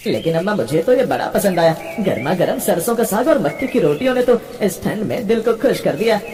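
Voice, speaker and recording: A woman's voice: medium pitch, big pitch swings, fairly quick, mellow, a little hiss or hum, natural voice. A woman voicing a poor, grateful dwarf man, respectful and eager, in a cartoon tale. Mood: grateful, pleased. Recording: reverberant hall-like sound.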